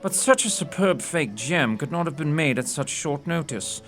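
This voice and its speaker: An actor in an audio drama playing a shrewd, courteous gentleman detective with a precise, theatrical, condescending manner. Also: a little high, animated, moderate pace, faint music behind. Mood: explaining, triumphant.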